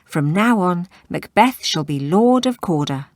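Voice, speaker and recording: A woman's voice: lowish voice, expressive, measured pace, no room sound, studio quality. A woman voicing a male king in a storybook, giving orders in a commanding manner. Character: kingly male ruler, commanding, anxious for news.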